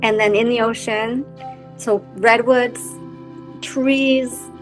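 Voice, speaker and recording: A woman's voice: fairly high pitch, fairly even intonation, takes it slowly, soft background music, poor audio. Woman from Costa Rica, meandering storyteller. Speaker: helping professional on a panel. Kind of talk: casual reflective conversation.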